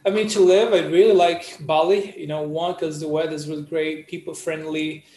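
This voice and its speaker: A man from Brazil, a guest photographer telling stories in a casual interview, with a relaxed style and lots of "you know" fillers. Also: a little high, moderate pace, echoey room.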